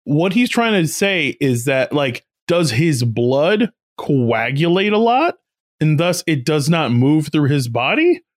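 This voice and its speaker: A man co-hosting a casual comedy podcast, riffing on a movie, laughing often and explaining his points in halting restarts. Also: fairly high pitch, very animated. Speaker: podcast co-host.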